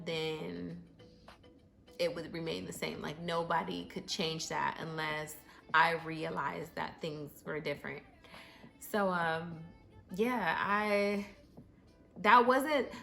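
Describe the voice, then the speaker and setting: Chatty woman in her thirties telling a story. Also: medium pitch. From Arizona. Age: 30s. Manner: animated storytelling with many fillers. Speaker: vlogger. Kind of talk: story-time vlog.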